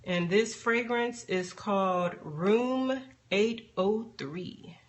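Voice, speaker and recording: A woman chatting casually on a live stream as she demonstrates a craft, unhurried and thinking aloud as she works. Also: mid-range voice. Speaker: soap maker hosting a live stream. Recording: background noise, live phone stream.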